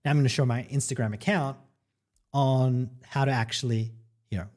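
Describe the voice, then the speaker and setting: A man's voice: mid-range voice, steady tone, normal speed, darkish tone. Upbeat man chatting on a livestream. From El Salvador. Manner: upbeat and chatty, peppering lines with "right?" and "guys". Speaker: online marketing coach hosting a livestream. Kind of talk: casual livestream talk to viewers.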